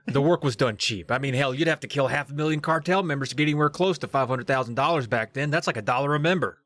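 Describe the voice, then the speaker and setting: A man's voice: medium pitch, quick pace, fairly bright tone. A man co-hosting a podcast in casual chat, adding sarcastic, joking asides. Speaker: podcast co-host.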